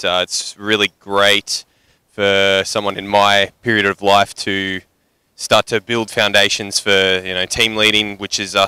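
Young man, low pitch, bright tone, earnest, handheld mic. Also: smooth, no echo. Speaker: interviewee, larp team leader. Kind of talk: casual interview answer. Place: outdoors at a convention.

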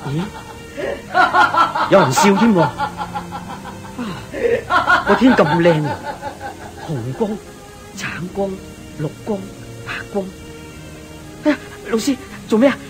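An eager young Buddhist disciple in a radio drama, with a high voice that swings animatedly. He speaks in a Hong Kong Cantonese accent, deferential and easily awed, with music behind him. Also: slow pace, long pauses, exaggerated voice, rough recording. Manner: excitable, deferential, full of exclamations.

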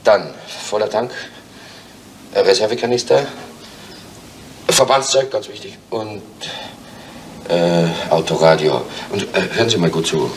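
A very deep voice gives orders as a demanding hostage-taker acted by a man. The delivery is halting and commanding, from a 1970s crime radio play. Faint noise lies behind it. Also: lightly acted, poor audio. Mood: halting, threatening.

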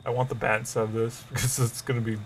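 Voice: medium pitch, little pitch movement, quick pace, slight background noise. A gamer chatting casually during online play in a silly, joking, sweary manner, with music behind. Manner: playful, silly, often laughing, swearing. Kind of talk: casual joking gameplay chat.

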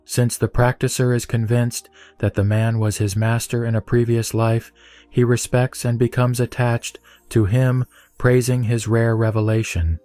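A man reading scripture aloud in a solemn, measured recitation. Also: mid-range voice, flat delivery, warm tone, no echo. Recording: dry close mic with faint background music.

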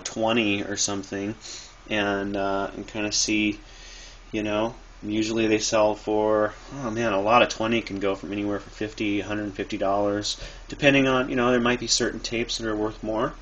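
Low-voiced man, halting casual talk. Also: a bit bright. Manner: halting, loosely improvised. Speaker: video maker, thrift reseller. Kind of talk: casual rambling how-to talk. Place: cluttered dim room indoors. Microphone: handheld phone. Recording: noisy amateur phone recording.

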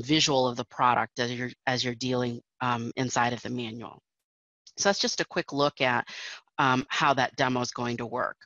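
A woman's voice: extremely low pitch, fairly bright tone, no room sound, lo-fi sound. A woman presenting a technical webinar over slides, explaining steadily with frequent fillers.

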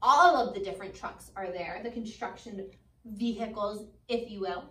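A woman in her twenties doing an expressive, sing-song read-aloud for young children, as a teacher who asks questions and waits for answers. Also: medium pitch, moderate pace. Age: 20s. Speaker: preschool teacher. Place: indoor school classroom. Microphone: camera a few metres away. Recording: echoey room, distant microphone.

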